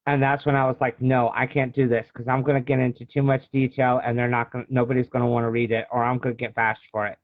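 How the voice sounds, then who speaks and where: A man's voice: mid-range voice, flat delivery, fast, hardly any pauses, no room sound. An American man being interviewed as an author, talking casually and explaining at length like a storyteller. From United States. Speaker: guest author. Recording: thin phone-like call audio.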